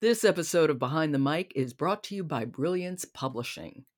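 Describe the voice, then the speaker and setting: A woman's voice: low-pitched, animated, moderate pace. A woman hosting a podcast, chatting casually about books with her guest. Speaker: podcast host. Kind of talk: casual book chat.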